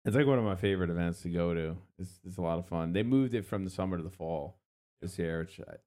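A man's voice: low voice, quick pace, darkish tone. A man hosting a show, chatting casually and plugging events in a laid-back, conversational way. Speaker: radio or podcast host.

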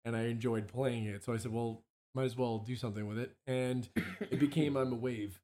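A hoarse man, a musician guest on a radio show, chatting casually with many fillers and restarts. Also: medium pitch, fairly even intonation, fairly quick, dark tone. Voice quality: throat-clearing. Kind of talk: casual radio interview chat. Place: radio studio.

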